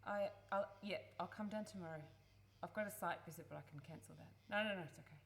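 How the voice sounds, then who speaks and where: A woman playing a worried, guilt-ridden adult daughter in a radio play, protective and earnest, speaking haltingly. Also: on the low side, close to an everyday voice. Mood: flustered, apologetic.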